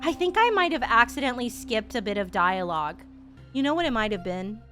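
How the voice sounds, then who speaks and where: A woman streamer reacting to a game in rambling, animated, sweary casual chat. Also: a little high, brisk, soft background music.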